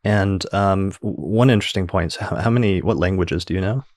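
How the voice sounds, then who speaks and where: A man's voice: low voice, a little fast, a little mellow, dry recording, studio quality. A man hosting a podcast interview, asking probing follow-up questions in a casual way. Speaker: podcast host.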